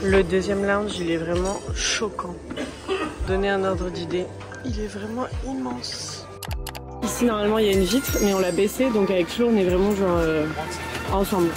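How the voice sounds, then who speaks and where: Woman vlogger in a plane seat, gushing and slangy, loud music underneath. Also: mid-range voice, normal speed. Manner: excitable, exclamatory, slangy. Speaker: travel vlogger. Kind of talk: excited casual vlog narration. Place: airplane cabin. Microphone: phone camera.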